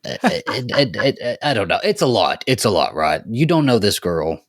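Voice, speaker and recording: A man's voice: fairly low pitch, sing-song, normal speed, no echo, studio quality. A man co-hosting a podcast in casual, opinionated fan chat, repeating himself for emphasis and mimicking characters. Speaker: podcast co-host.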